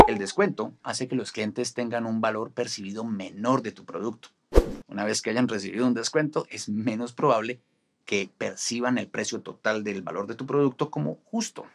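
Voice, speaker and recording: A man in his forties explaining restaurant marketing to camera in a studio, with a steady, instructive delivery. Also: lowish voice, creaky. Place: studio in front of a green screen.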